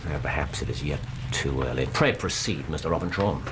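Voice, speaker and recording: Deep voiced victorian english detective, clipped, commanding, radio drama w noisy background. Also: male voice, a little fast, a bit bright. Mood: keen, probing. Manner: clipped, probing, musing. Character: keen, commanding, cerebral Victorian gentleman detective.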